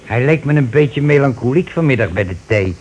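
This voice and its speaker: An actor in a radio play playing an evasive, scheming husband who lets rooms and talks in an offhand, colloquial, bossy way. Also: male voice, mid-range voice, lively intonation, brisk, a little mellow, lo-fi sound, close to an everyday voice. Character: evasive, scheming husband, a brusque working-class lodging keeper. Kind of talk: naturalistic radio play acting. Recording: noisy old radio play recording.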